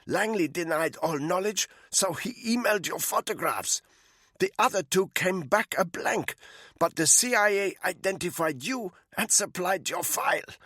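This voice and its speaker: A man voicing a captive woman spy who croaks and gasps for air as she is strangled. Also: on the low side, animated, normal speed, fairly bright tone, no room sound, clean studio sound, voice pushed up. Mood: strained, fearful. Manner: croaking, strained pleading. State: choking, gasping.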